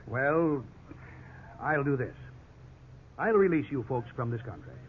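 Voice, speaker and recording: A man's voice: medium pitch, lively intonation, a little slow, lightly acted. A man with an American accent playing a defensive, conciliatory real estate agent in a 1950s radio drama, formal and self-justifying. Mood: resigned, conciliatory. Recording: tinny, noisy 1950s radio recording.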